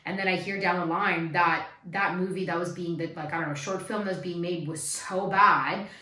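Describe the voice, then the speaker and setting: A young woman from Canada, an actor, giving advice in a vlog with a raspy voice, talking emphatically and repeating her points for stress. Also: lowish voice, fairly even intonation, moderate pace, sharp tone. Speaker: actor and video host. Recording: echoey room, faint music.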